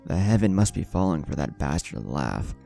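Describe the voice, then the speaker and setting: A man's voice: sits low, big pitch swings, brisk, creaky, warm tone. A man narrating a scripted fan fiction story, with character dialogue mixed in. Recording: background music under voice. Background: music.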